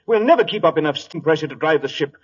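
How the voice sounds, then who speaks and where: An actor playing an anxious, blustery ship's captain in an old-time radio drama, speaking emphatically. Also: male voice, fairly high pitch, lively intonation, fast talker, husky. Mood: alarmed. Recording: dull vintage radio recording, muffled.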